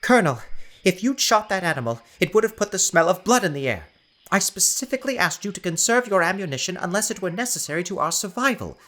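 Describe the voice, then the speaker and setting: A prudent professor on a jungle expedition voiced by a male narrator in an audiobook. The voice is lifted well above a low male register and is sharp, precise and formal, with an insistent manner. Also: big pitch swings, quick pace, heavily acted. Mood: firm, reproving. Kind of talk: audiobook character acting.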